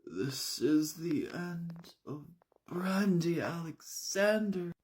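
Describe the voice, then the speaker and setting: A man voicing a vain, melodramatic bombshell woman who moans and swoons with drawn-out self-importance. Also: on the high side, darkish tone, pitched above the performer's own voice. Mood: swooning misery.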